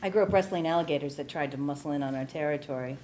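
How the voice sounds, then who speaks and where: A woman's voice: low-pitched, racing, dark tone, a little hiss or hum, natural voice. A woman playing a tough, streetwise astronaut, blunt and matter-of-fact, at a comedy table read.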